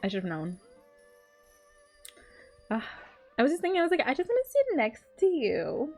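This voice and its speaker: Game streamer, young woman, fairly high pitch, informal and playful reactions over faint game music. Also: wildly swooping pitch, normal speed, a little mellow. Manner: playful, giggly, slangy. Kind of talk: casual live reaction commentary.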